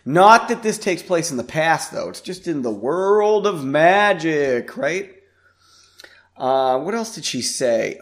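Raspy congested man hosting a podcast. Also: on the high side, lively intonation, slow pace, a bit bright. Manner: rambling, self-deprecating. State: congested from a sinus infection. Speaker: podcast host. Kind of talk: casual podcast chat.

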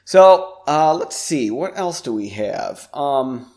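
A man, raspy and congested from a sinus infection, hosting a podcast in a rambling, self-deprecating way. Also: a little high, expressive, takes it slowly, a little mellow. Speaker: podcast host. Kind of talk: casual podcast chat.

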